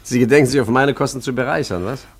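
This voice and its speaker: An actor in a German radio play playing an urbane, evasive art forger who talks hesitantly with polite irony. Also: male voice, medium pitch, fast, breathy edge. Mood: flustered, wry. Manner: hesitant, stammering, politely ironic.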